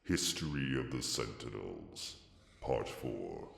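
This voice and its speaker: An actor playing a solemn ancient chronicler of a warrior people, narrating a video game in a grave, ceremonious style. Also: male voice, rumbling low, lively intonation, slow pace, creaky, background noise, heavily acted. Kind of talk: scripted dramatic narration. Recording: cavernous reverberant game narration.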